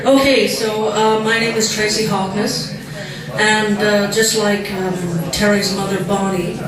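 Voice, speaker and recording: A loud woman tosses out dry, sarcastic roast jokes. She is heard from far off in a strongly echoing hall. A big crowd laughs and chatters behind her. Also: mid-range voice, steady tone, unhurried. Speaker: sister-in-law giving a roast speech. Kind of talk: roast speech. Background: laughing audience.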